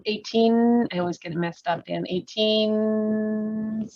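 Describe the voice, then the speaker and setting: Woman, forum moderator, unhurried, informal and chatty, medium pitch, dull audio. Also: fairly even intonation, darkish tone.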